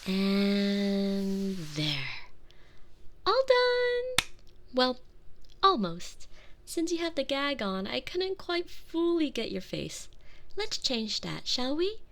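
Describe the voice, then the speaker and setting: A woman's voice: high voice, wildly swooping pitch, takes it slowly, long pauses, a bit bright, a little hiss or hum, exaggerated voice. A woman playing a sweetly doting but obsessive, volatile girlfriend in an intimate, close-miked ASMR roleplay. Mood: cooing, sweet. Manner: intimate, cooing, often dropping into breathy whispers. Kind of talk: scripted ASMR roleplay acting. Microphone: close binaural microphone.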